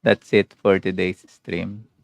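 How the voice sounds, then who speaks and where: A man's voice: low voice, little pitch movement, slow pace, gravelly, noisy background. A man with a Filipino accent chatting casually as he livestreams a game. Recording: game music behind the voice.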